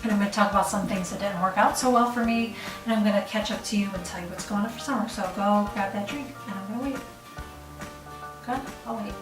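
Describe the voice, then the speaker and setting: A woman's voice: medium pitch, quick pace, distant mic. Chatty middle-aged Midwestern woman, casual to-camera talk, roomy off-mic sound. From Chicago, US. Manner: chatty, rambling with fillers. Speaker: vlogger. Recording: roomy room, off the mic, faint music.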